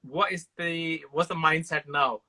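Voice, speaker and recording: Man, interview host, crisp bright tone, filler-heavy, meandering. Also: fairly high pitch, measured pace. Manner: rambling, filler-heavy questions. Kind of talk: casual interview chat.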